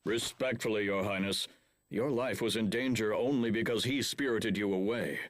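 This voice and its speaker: A man's voice: on the low side, normal speed, no echo, close to an everyday voice. An actor playing a stern, middle-aged lord and father in a video game, speaking formally and commandingly. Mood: grave, formal. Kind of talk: English video game cutscene acting.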